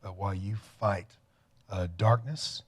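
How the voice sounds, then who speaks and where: A man's voice: lowish voice, steady tone, slow. A man hosting a TV studio interview, affirming and encouraging his guest. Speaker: TV host.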